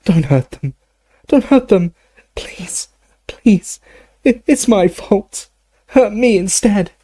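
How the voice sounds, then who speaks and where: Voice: mid-range voice, sing-song, a little slow, warm tone, slightly rough, dry recording. A storyteller voicing an old male wizard professor in anguish, begging and delirious as in an audiobook. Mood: desperate begging.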